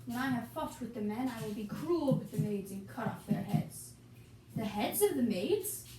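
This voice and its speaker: A woman's voice: on the high side, animated. A young woman giving an amateur, theatrical reading of Shakespearean dialogue for a puppet show. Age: young adult. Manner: theatrical, reciting archaic dialogue slowly. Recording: echoey room, distant mic, hissy noise.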